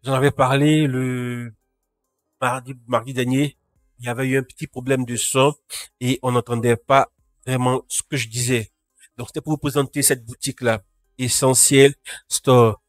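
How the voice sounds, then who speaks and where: Man with a cold, flat delivery. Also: mid-range voice, normal speed, no room sound, clean studio sound, soft background music. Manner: talks to live chat viewers, frequent restarts. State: has a cold. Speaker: tech news live streamer. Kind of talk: casual live tech news roundup.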